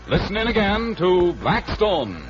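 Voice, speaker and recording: A man's voice: high-pitched, very animated, unhurried. A man working as a radio announcer, in scripted 1940s broadcast style. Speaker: radio announcer and show regular. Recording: muffled vintage radio recording with music.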